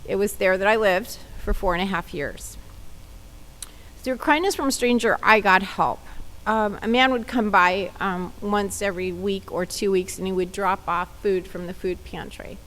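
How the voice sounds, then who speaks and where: A woman's voice: mid-range voice, moderate pace, a bit bright, poor audio. A woman community advocate giving plain personal testimony at a public hearing, telling her story in the first person. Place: government hearing room.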